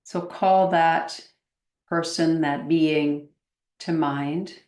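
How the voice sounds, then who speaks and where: A woman meditation teacher leading a guided meditation in a gentle, unhurried manner with long pauses, in a roomy, echoey recording. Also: low-pitched, steady tone.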